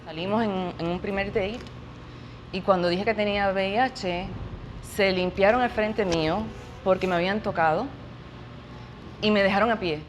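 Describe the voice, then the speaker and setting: A woman's voice: mid-range voice, steady tone, a little fast, pauses often, slight background noise, poor audio. A woman frankly recounting a painful personal experience with heartfelt feeling. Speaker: interviewee. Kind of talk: personal testimony. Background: music.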